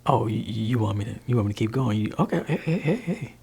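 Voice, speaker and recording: A man voicing a gentle, laid-back father in an ASMR roleplay, talking softly and whispering to his teenage child in a teasing way. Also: lively intonation, quick pace, creaky, slight background noise. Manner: soft-spoken, shifting between low voice and whisper. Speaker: ASMR creator.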